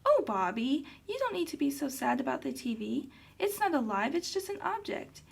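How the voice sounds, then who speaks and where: A woman plays a puppet mother with a high voice and a marked creak in it. She is patient yet firm, admonishing and instructing in the manner of a children's puppet show. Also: big pitch swings, moderate pace, full character voice. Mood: gently scolding.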